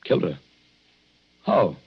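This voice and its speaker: An actor playing a protective, skeptical husband in a 1940s radio suspense drama, with a brisk, reassuring manner. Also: male voice, natural voice. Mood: incredulous, worried. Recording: dull vintage radio recording.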